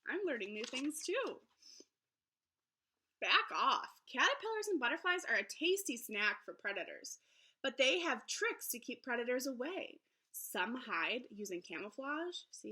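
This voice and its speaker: A woman teacher reading a children's book aloud in an expressive way, pausing to explain things simply to young listeners. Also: fairly high pitch, husky. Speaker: elementary school teacher.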